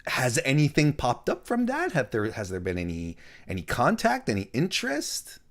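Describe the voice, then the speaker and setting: A man hosting a wrestling interview, talking in halting restarts and cracking jokes. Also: mid-range voice, wildly swooping pitch. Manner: halting, many restarts, joking. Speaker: interview host. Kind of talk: casual interview.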